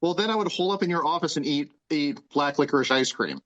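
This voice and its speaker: A man's voice: fairly high pitch, fast, a bit bright. A man chatting casually as a panelist on a podcast. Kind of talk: casual chat. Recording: slightly dull lo-fi audio.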